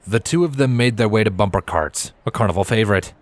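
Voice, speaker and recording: A man's voice: lowish voice, fast talker, no room sound. A man reading a scripted story aloud as its narrator. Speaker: fan fiction reader.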